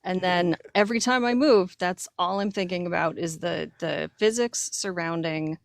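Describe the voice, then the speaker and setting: A woman's voice: on the low side, moderate pace, creaky, no room sound, studio quality. A woman co-hosting a podcast, chatting casually about writing with a wry manner. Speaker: podcast co-host and writer. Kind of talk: casual conversational discussion.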